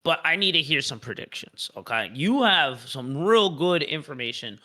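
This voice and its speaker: A man's voice: on the high side, sing-song, normal speed, bright, forceful tone. A man hosting a casual esports live stream, upbeat and often repeating his words for emphasis. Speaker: esports league host.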